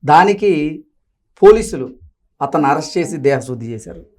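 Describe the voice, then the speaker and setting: A woman's voice: low-pitched, expressive, moderate pace, a little mellow. A woman hosting a news discussion and commenting on reports. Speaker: news talk show host.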